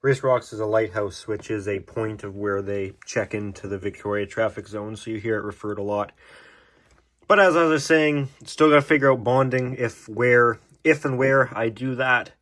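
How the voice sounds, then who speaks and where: A man's voice: lowish voice, normal speed, a bit bright. Casual, puzzled man rambling to camera. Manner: puzzled, self-deprecating, swears casually. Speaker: hobbyist video host.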